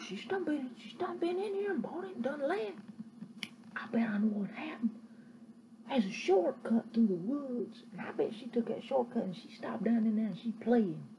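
A woman voicing a chatty male country storekeeper, talking in a hushed, gossipy way. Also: fairly high pitch, a little hiss or hum, low-quality recording.

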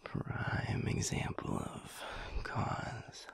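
A man playing a flirty, affectionate werewolf lover in an intimate audio roleplay, teasing in a breathy, gravelly murmur. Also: drawn-out words, in a whisper. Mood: sultry, teasing.

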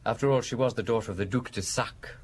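An actor playing a discreet, courteous aristocratic gentleman in a radio drama, speaking precisely and formally. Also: medium pitch, quick pace, a little hiss or hum, lightly acted. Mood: reserved.

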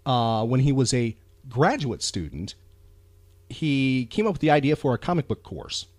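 A man's voice: mid-range voice, big pitch swings, moderate pace, no echo. A man hosting a podcast in a casual, rambling, enthusiastic chat about pop culture. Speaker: podcast host.

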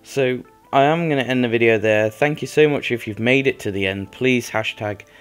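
A young man with a slightly croaky voice from a cold, chatting casually about a video game over gameplay as a channel host, rambling with lots of fillers. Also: mid-range voice, moderate pace. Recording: faint background music.

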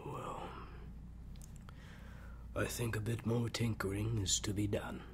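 A man playing a sadistic, clinical mad scientist villain who savors fear, recording a halting audio-drama log. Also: on the low side, level tone, noisy background. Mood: musing menace. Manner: slow, halting, savoring, hushed at times. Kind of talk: acted audio log monologue.

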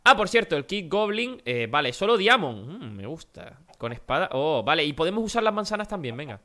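Fast, bright-voiced Spanish man, nasal. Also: fairly high pitch. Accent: Spanish from Spain. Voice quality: nasal, worn-out voice. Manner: chatty, energetic, talks straight to viewers. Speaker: gaming youtuber. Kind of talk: casual gameplay commentary.

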